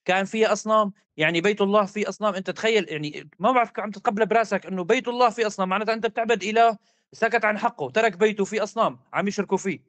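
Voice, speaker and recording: Fast, heated high-voiced man. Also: fairly bright tone, no echo. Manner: rapid, insistent, argumentative, repeats demands. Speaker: debater. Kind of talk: heated religious debate.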